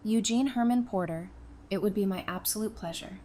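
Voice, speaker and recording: A woman acting an affectionate, gently teasing girlfriend in an audio drama, in a casual conversational manner. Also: medium pitch, moderate pace, lightly acted. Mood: loving, teasing. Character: affectionate, teasing girlfriend in a post-apocalyptic romance. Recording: noticeable background noise.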